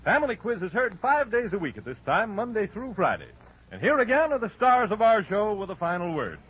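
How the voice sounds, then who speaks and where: A man's voice: sits high, huge pitch swings, brisk, fairly bright tone, slightly rough. A man reading a scripted old-time radio sign-off as the show's announcer, with polished showmanship. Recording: muffled old radio broadcast recording. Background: faint organ music.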